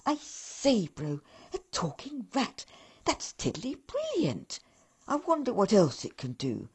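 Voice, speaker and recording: A woman voicing two tiny, cheery male elf brothers who talk in squeaky, excitable, condescending tones. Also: slow pace, breathy edge, heavily acted. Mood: excited.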